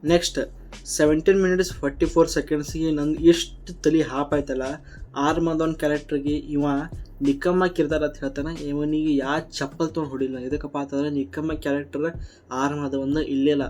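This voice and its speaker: A man's voice: fairly high pitch, flat delivery, brisk, darkish tone, a little hiss or hum. A man with a North Karnataka accent giving blunt, combative, colloquial reaction commentary. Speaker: YouTube commentator.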